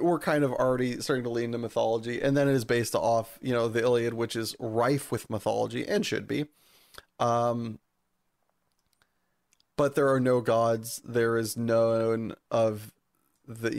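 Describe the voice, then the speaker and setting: A man's voice: mid-range voice, gravelly, darkish tone, no room sound, clean studio sound. A man co-hosting a podcast in casual banter, informal and wry with the odd swear word. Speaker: podcast co-host.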